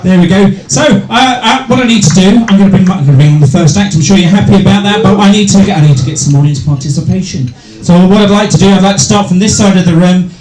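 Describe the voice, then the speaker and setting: A middle-aged man with a deep voice hosts a comedy night, teasing hecklers and swearing with ease. His voice sits a touch high for a man. The room is echoey, and a loud crowd fills the space behind him. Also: fast, few pauses. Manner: banters with hecklers, swears freely. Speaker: stand-up comedian and compere. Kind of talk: stand-up comedy with crowd work. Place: small live venue with a crowd.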